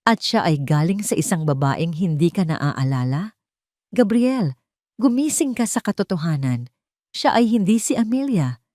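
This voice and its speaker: A woman's voice: lowish voice, very animated, normal speed, mellow, dry recording, studio quality. A woman voicing a stern, haughty mother who scolds her grown son in a hard, reproachful manner. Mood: insistent.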